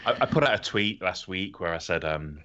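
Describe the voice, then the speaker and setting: A man's voice: low-pitched, lively intonation, a little fast, bright, forceful tone, dull audio. A man co-hosting a podcast in casual, comedic chat, ranting animatedly and swearing freely. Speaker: podcast co-host.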